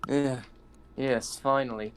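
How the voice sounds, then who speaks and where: A man's voice: medium pitch, fairly even intonation, slow pace, slight background noise. A man playing a tabletop game over an online call, answering in short, easygoing bursts and chuckling now and then. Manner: laid-back, brief answers, chuckles. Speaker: player. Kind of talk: casual play chat.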